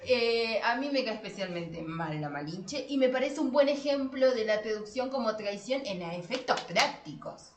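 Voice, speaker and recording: A woman's voice: a little high, animated, normal speed, hardly any pauses. A woman with an Argentine accent co-hosting a podcast, discussing literature casually in a colloquial, irreverent way. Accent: Argentine Spanish. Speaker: podcast co-host. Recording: roomy, echoing sound.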